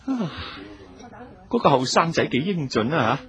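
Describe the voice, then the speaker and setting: A man's voice: fairly high pitch, sing-song, moderate pace, fairly bright tone, lo-fi sound. A man playing a brash, worldly, teasing boss in a radio drama, with a swaggering manner. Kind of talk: Cantonese radio drama acting.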